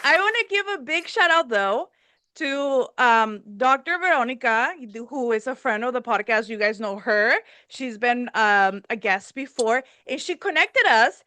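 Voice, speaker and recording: A woman born in Mexico hosts a relaxed podcast chat, slipping Spanish words into her English. Her voice is bright and a bit high, and it sounds close and dry, with no room echo. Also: a little slow, a faint crowd. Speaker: podcast host. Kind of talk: casual chat.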